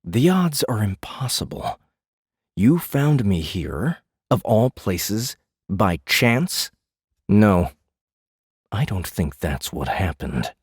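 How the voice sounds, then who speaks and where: A weary fae captor from a fantasy audiobook drama. His speech is very slow and swings widely in pitch, with a husky, breathy grain. He sounds brooding and disdainful, recorded dry and close. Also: sing-song, dry recording, full character voice. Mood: accusing, disdainful. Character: weary, haughty male fae jailer in human guise.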